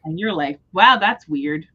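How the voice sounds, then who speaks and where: A woman's voice: mid-range voice, lively intonation, slow, breathy edge, lo-fi sound. A woman hosting a book readalong livestream, talking casually about books. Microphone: livestream microphone.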